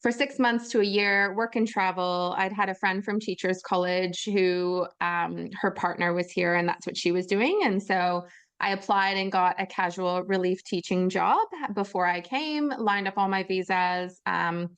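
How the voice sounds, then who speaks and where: A woman's voice: mid-range voice, fairly bright tone, smooth. A Canadian woman in her thirties, co-hosting a podcast in bubbly casual chat with frequent ums and easy laughter. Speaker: podcast co-host.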